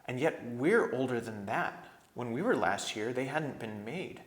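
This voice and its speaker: A man voicing a curious, wondering schoolboy adventurer in a storybook, speaking in a hushed manner. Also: medium pitch, animated, unhurried, roomy sound, close to an everyday voice.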